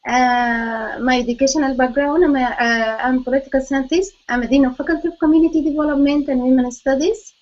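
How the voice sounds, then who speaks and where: A woman's voice: high voice, fairly even intonation, a little fast, few pauses. A woman from Sudan, a university dean, introducing herself hesitantly with many fillers. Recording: slightly muffled recording.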